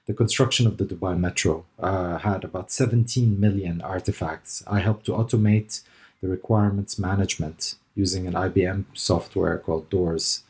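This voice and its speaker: Middle-aged male guest from Lebanon, deep voice, reflective conversational answers. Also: creaky, a little mellow. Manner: thoughtful, hesitant with fillers and restarts. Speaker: interview guest, consultant.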